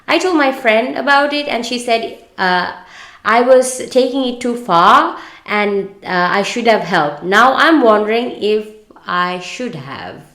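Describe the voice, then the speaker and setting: Halting woman podcaster in an echoey room. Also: a little high, lively intonation, a bit bright. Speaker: podcast co-host. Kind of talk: reading a story aloud and casual discussion.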